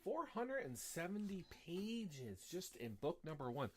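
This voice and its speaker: A man's voice: a little high, big pitch swings, measured pace, a crowd in the background. A man hosting a casual live show, rambling with many fillers and restarts, sniffly with a slight cough. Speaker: host.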